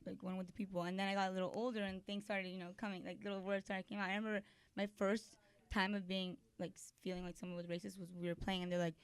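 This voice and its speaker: A woman's voice: medium pitch, little pitch movement, fast, clean tone, dry recording. A woman from California, a guest on a chatty podcast, telling stories about growing up. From Santa Monica, California. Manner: chatty, laughing, storytelling. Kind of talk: casual podcast chat.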